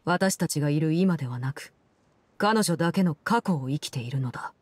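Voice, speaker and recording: A cold, commanding woman villain from an anime game. She speaks with measured formality and detached authority, telling things solemnly. The sound is close and very dry. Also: sits low, expressive, husky, dry recording. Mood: calm, resolute. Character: cold, composed, commanding female Fatui Harbinger in her prime. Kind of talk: Japanese anime game voice acting, solemn storytelling.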